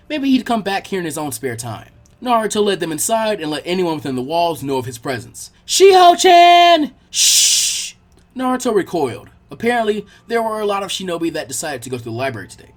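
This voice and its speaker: A man narrating fan fiction in an expressive, animated storytelling style. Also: sits high.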